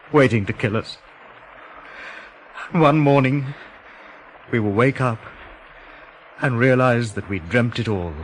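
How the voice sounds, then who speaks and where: Man acting besieged educated man, parched, brooding, animated pitch, radio drama, faint background noise. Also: close to an everyday voice, low-quality recording.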